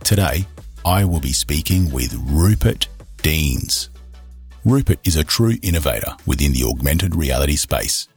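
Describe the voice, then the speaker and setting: A man hosting a podcast, reading a formal, measured introduction and interviewing a guest. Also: a bass voice, animated, gravelly, a little mellow, soft background music. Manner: formal, measured, read-out delivery. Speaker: podcast host.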